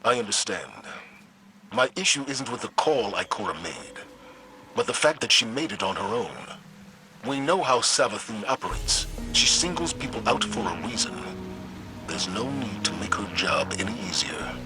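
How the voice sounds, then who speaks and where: A man playing a stern, wary veteran commander in a game, measured and authoritative. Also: fairly low pitch, sing-song. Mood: concerned, firm. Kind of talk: dramatic game dialogue. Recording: noisy game sound effects behind.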